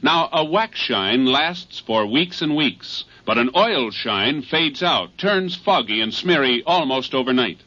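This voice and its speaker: A man's voice: medium pitch, lively intonation, measured pace, few pauses, sharp tone. A man reading a 1950s radio commercial in an emphatic, persuasive manner. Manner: emphatic, persuasive sales delivery. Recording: muffled old radio recording.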